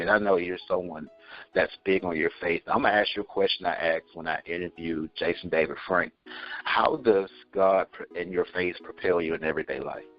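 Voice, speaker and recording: A man's voice: low voice, muffled recording. A man hosting an interview series, enthusiastic and telling stories from his own childhood. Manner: enthusiastic, storytelling. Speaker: interview host. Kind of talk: casual interview chat.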